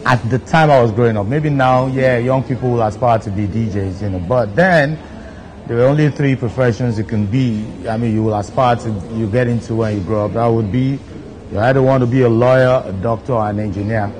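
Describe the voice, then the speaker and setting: A man's voice: mid-range voice, fairly quick, a little mellow, low-quality recording. Nigerian dj guy chilling in a studio chair, easy chatty talk, some background noise. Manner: laid-back, frequent "you know" fillers. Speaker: DJ hosting his own video countdown. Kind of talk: relaxed seated talk to camera. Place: studio set, seated in armchair.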